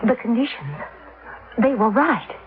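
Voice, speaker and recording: A woman's voice: a little high, sing-song, unhurried. A proper, credulous American lady in a 1950s radio mystery. She speaks with eager, insistent emphasis, heard through narrow, tinny vintage broadcast sound. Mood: excited, insistent. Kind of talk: 1950s radio drama acting. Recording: tinny old radio recording.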